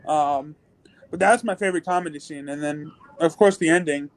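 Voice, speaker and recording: Male guest, high pitch, casual chat, halting delivery, dry sound. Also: no room sound, low-quality recording. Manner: halting, stumbles over words. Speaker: guest on review show.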